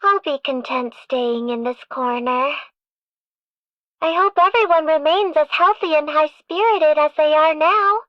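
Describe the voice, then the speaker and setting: High-pitched, polite medical robot. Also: female voice, unhurried, dry recording, lo-fi sound. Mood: gentle, wistful. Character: gentle, selfless female medical robot platform. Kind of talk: scripted game voice lines.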